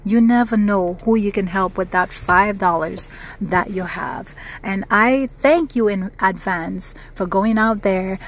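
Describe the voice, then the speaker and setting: A woman from the Caribbean talks through a casual solo podcast at an unhurried pace. Her voice sits at a middling pitch. She is chatty and earnest, and she repeats phrases to drive her point home. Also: slight background noise. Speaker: podcast host. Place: South Florida.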